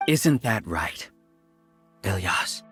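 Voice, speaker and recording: A man's voice: low-pitched, sing-song, drawn-out words, fairly bright tone, soft background music, exaggerated voice. A man playing an arrogant, calculating researcher villain in a video game, speechifying in a condescending, theatrical way. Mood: smug lecturing. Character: arrogant, calculating male villain, a scheming hive-mind researcher. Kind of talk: scripted video game voice acting.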